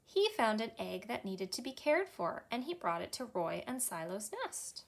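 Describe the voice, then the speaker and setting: A woman in her thirties with a Canadian accent, a children's librarian reading a picture book aloud in a cheerful, expressive storytime manner. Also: mid-range voice, normal speed, creaky. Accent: Canadian English. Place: small indoor library room.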